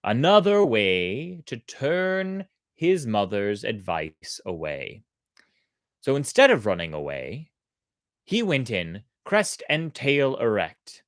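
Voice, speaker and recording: Slow, animated storyteller in a dry room. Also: medium pitch, no echo. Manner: theatrical, deliberate. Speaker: storyteller, host. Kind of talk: expressive storybook narration.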